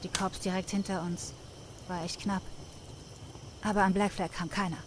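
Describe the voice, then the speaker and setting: A woman acting as a young drifter woman with a mid-range voice, rueful and slangy. She talks plainly and swears freely in a game scene, with clear night insect noise behind her. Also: medium pitch, little pitch movement, moderate pace, close to an everyday voice. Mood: wistful storytelling. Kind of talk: German fan dub of a video game. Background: crickets and night ambience.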